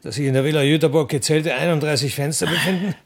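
A man playing a put-upon, drily polite household helper in a radio play, courteous but quietly grumbling. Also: fairly high pitch, steady tone, fast, creaky. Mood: dry grumbling.

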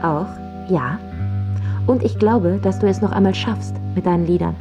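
A woman's voice: lowish voice, normal speed, lo-fi sound, music in the background, close to an everyday voice. An actress playing a loving but pragmatic girlfriend in a radio drama, soothing and matter-of-fact. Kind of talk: understated radio drama acting.

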